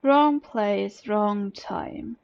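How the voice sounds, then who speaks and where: A woman's voice: medium pitch, drawn-out words, vocal fry, dull audio. A woman voicing a tired, sardonic young male captive in a story, with a dry, pointed delivery.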